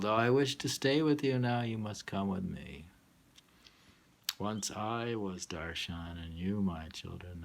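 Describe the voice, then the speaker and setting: A man reading his own poems aloud in a halting, musing way, with muttered asides. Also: sits low, vocal fry, slight background noise. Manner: halting, musing, hums to himself between lines.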